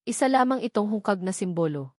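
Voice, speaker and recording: A woman's voice: medium pitch, animated, a little fast, dry recording, clean studio sound, lightly acted. Woman voicing a resolute, earnest young man. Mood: firm, defiant. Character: resolute young man defying his family, devoted, earnest. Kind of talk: Tagalog romance audio drama.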